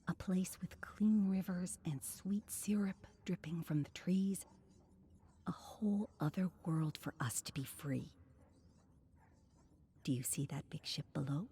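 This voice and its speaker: A woman voicing a tender, desperate young woman in a story, gentle, wistful and half-whispered. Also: on the low side, a little slow, a little hiss or hum, pitched above the performer's own voice. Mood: hushed, wistful. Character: tender, desperate young woman comforting her frail brother.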